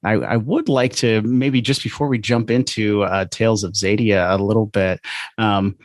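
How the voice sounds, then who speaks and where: A man's voice: on the low side, fairly even intonation, normal speed, no room sound, clean studio sound. A middle-aged man with an American accent, hosting a casual podcast interview with meandering, hesitant questions. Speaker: podcast host.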